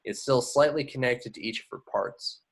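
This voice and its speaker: A man's voice: medium pitch, moderate pace, vocal fry, husky, rough recording. A man reading scripted action descriptions as narrator of an amateur table read. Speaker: reader of stage directions.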